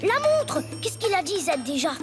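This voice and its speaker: An actress voicing an earnest, excitable schoolboy secret agent in a cartoon, eager and self-assured. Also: female voice, very high-pitched, normal speed, loud music behind the voice, exaggerated voice. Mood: determined. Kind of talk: animated cartoon voice acting.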